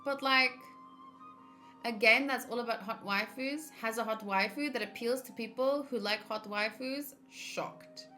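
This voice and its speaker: A woman's voice: on the high side, a little slow, sharp tone, soft background music. A woman chatting informally on a gaming livestream, reacting aloud as she talks. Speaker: streamer. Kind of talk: casual livestream chat while gaming.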